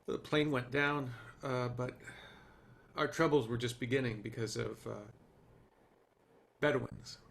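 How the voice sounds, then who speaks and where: A man playing a dejected, stubborn TV showrunner in a screenplay table read, speaking in a halting, conversational way. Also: mid-range voice, steady tone, gravelly. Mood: awkward. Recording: noisy online call audio.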